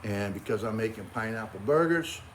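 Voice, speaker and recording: A man's voice: on the low side, lively intonation, fairly quick, slight background noise. A man in his sixties with a Boston accent, chatting casually to camera about grilling in his backyard, in a folksy, friendly way. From Cape Cod, Massachusetts. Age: 60s. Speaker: grilling vlogger.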